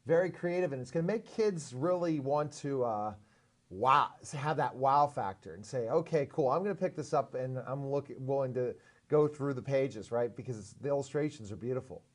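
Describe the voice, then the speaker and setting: A man's voice: medium pitch, animated, moderate pace. A man hosting a TV interview show in a studio, rambling with restarts and earnest, lecturing asides. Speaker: talk show host. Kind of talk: casual interview talk.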